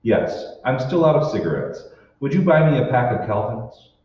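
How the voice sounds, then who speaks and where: Male actor as suspicious troubled husband, radio play, animated intonation, distant mic, dull reverberant sound. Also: mid-range voice, normal speed, close to an everyday voice. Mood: weary, reserved. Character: troubled, suspicious husband convinced his world is fake. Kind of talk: old-time radio drama acting. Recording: echoey hall, distant mic, dull, faint noise.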